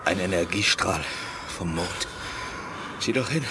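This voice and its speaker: A man's voice: deep voice, unhurried, background noise. An actor playing an agitated, scornful army lieutenant who orders his subordinate around in a German radio drama. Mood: shaken. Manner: commanding, scornful, excitable.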